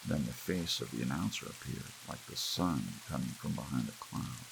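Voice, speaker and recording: A man with a deep, gravelly voice narrates a story in ASMR audiobook style. His intonation moves widely, and soft rain hisses behind him. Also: moderate pace. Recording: steady rain and hiss behind.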